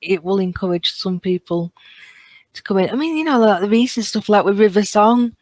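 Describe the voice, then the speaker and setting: A woman chatting casually as a podcast panellist, choosing her words in a hesitant, measured way. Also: medium pitch, a little mellow, no room sound.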